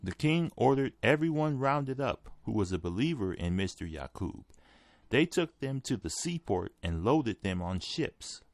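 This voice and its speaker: Guy reading an article out loud, gravelly fry in his voice, really dry recording. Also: mid-range voice, big pitch swings, a little slow, dry recording. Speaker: article reader. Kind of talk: scripted narration, reading an article aloud.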